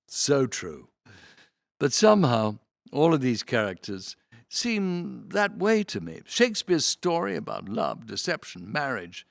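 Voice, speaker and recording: A man reading programme notes aloud in an expressive, theatrical way, with deliberate phrasing and dramatic emphasis. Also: mid-range voice, vocal fry, no echo, clean studio sound.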